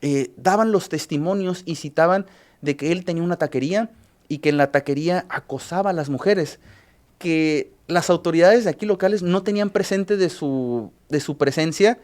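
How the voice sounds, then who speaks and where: A man with a Mexican Spanish accent, a journalist recapping news cases on a radio show, halting often with filler sounds and restarts. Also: fairly high pitch, darkish tone.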